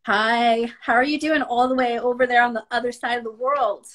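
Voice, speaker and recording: A woman's voice: a little high, steady tone, fairly quick, fairly bright tone. A middle-aged woman hosting a live video call interview, talking in an encouraging way with many fillers and restarts, on a webcam at home. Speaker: interview host. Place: home room, indoors.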